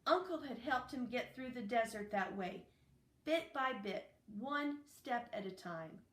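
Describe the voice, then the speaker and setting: A woman reading a children's novel aloud as narration, in a deliberate, phrase-by-phrase way. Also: on the high side, lively intonation, a bit bright. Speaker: audiobook reader. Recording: roomy, echoey, distant microphone.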